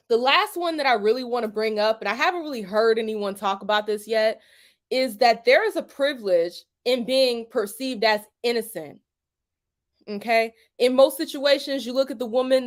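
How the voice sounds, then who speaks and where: High-voiced woman ranting, laughs a lot. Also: moderate pace. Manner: emphatic, keeps asking "okay?", laughs often, swears; colloquial, drops into "y'all" and "ain't". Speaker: YouTube commentator. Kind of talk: casual opinion monologue.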